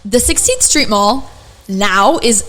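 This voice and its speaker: A young adult woman with a raspy voice, hosting a podcast and telling stories in casual chat full of fillers and restarts. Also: mid-range voice, lively intonation, slow pace, fairly bright tone. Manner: chatty storyteller, many fillers and restarts. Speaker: podcast host.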